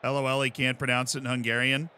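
An American man streaming casually while he plays, explaining things in an animated, rambling way and often checking in with "right?". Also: mid-range voice, fast, a little hiss or hum. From United States. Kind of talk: casual chat while playing.

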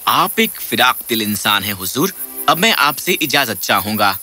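A man voicing a humble, earnest working man in a children's cartoon fairy tale, polite and expressive. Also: medium pitch, music in the background, lightly acted.

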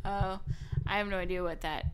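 A woman's voice: mid-range voice, steady tone, moderate pace, slight background noise. A woman co-hosting a casual movie chat, easygoing and giggly as she reacts and asks questions. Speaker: co-host of a movie discussion show.

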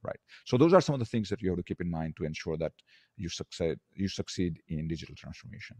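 A man with an Indian accent talks as a podcast guest, walking through his ideas point by point. His voice sits very low. The sound is dry and close, with no room around it. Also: animated, fast talker, dark tone, breathy edge, no room sound. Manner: explanatory, lists points. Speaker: guest author. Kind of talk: podcast interview.

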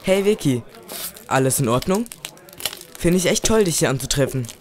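A man's voice: a little high, expressive, moderate pace, crowd noise, lightly acted. A man playing an eager, protective young aristocrat in an amateur German audio drama. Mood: friendly.

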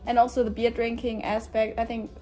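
Young German woman, soft mellow voice. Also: on the high side, fairly quick, faint music behind. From northern Germany. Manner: relaxed, many fillers like "like" and "yeah". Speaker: guest volunteer. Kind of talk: casual chat on camera. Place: indoor room with shelves.

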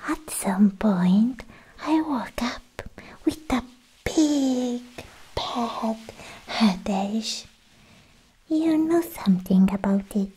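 Woman acting a slow, breathy, clingy alien girlfriend. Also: fairly high pitch, animated, dark tone, heavily acted, low-quality recording. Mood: mildly reproachful. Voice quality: breathy, mostly whispered. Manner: slow, coaxing, halting phrases. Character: clingy, obsessed female alien girlfriend, sweetly possessive. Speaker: ASMR roleplay performer. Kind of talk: soft-spoken ASMR roleplay. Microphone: close ASMR microphone.

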